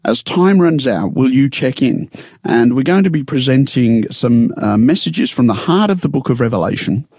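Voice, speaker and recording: A man with a croaky voice, co-presenting a relaxed radio chat in a studio and filling his talk with ums and uhs. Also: mid-range voice, moderate pace, no echo. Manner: chatty with frequent fillers.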